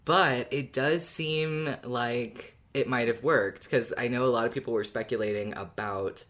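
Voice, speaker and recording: A woman with an extremely low-pitched voice co-hosts a fan discussion podcast. Her delivery is informal and conversational, like unscripted chat between friends. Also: fairly bright tone. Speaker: podcast co-host.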